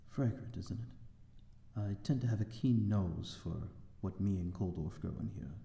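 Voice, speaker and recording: A man's voice: lowish voice, measured pace, vocal fry, pauses often, dark tone, reverberant. A man playing a courteous, lonely vampire lord in an ASMR roleplay, speaking in a genteel, formal and slightly hesitant manner. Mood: serene, refined. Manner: genteel, formal, hesitant, old-fashioned wording. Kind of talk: ASMR audio roleplay, amateur drama.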